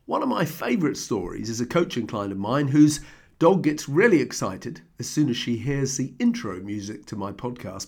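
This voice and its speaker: A man with a slightly croaky voice from a throat infection, hosting his podcast from his desk at home and telling a heartfelt personal story in an earnest, conversational way. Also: mid-range voice. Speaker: podcast host. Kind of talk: reflective personal monologue.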